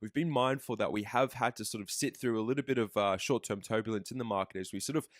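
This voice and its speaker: Fast-talking man with the flu. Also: on the low side, dry recording, clean studio sound. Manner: fast, steady walkthrough with fillers and self-corrections. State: has the flu, losing his voice. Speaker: trading educator. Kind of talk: screen-recorded market analysis.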